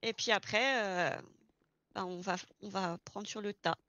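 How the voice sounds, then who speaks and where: A woman's voice: medium pitch, measured pace, a bit bright, no room sound, highs cut off. A woman explaining things step by step in an informal, casual roleplay chat. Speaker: experienced garage employee training a new recruit in online roleplay.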